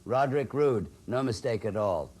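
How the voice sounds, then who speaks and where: A man's voice: medium pitch, animated, normal speed, darkish tone, husky. A man playing a prim, condescending old clerk of the underworld in an audio horror drama, speaking precisely. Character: prim, condescending gray-haired old male clerk of hell.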